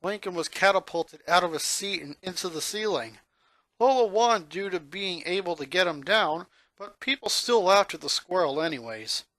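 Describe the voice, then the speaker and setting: A man's voice: high voice, animated, normal speed, bright, forceful tone, no echo. A man reading a fan fiction story aloud as scripted narration.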